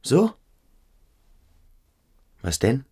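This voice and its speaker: An actor playing a stern, brooding boarding-school pupil who probes and commands in a German radio play. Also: male voice, very slow, warm tone. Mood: stern, accusing. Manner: insistent, probing, commanding.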